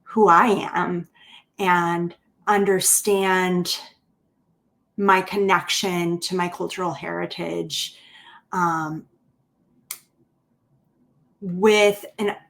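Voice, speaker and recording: A woman with an American accent, answering interview questions in a thoughtful, measured way and choosing her words carefully. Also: fairly low pitch, gravelly, a bit bright. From Bulgaria, grew up in the United States. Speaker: interview guest, writer and therapist. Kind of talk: reflective interview conversation.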